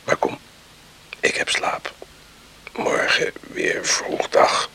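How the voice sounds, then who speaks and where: An actor playing a sleepy, musing man on a farm in a hushed radio drama, with a whispery, gravelly voice. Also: drawn-out words. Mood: drowsy, musing.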